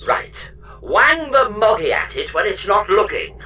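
A woman playing a chatty, jealous, mischievous AI pod companion in a comedy sci-fi audio drama, with theatrical, excitable delivery. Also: mid-range voice, unhurried, crowd noise. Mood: mischievous, curious.

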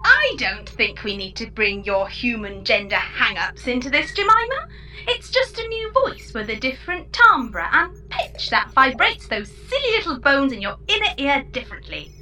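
A woman's voice: high voice, very animated, moderate pace, pushed and bright, faint music behind, full character voice. A woman playing a perky, cheeky spaceship computer in a comedy sci-fi audio drama, theatrical and fussy. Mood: chirpy, huffy. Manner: theatrical, fussy, self-amused.

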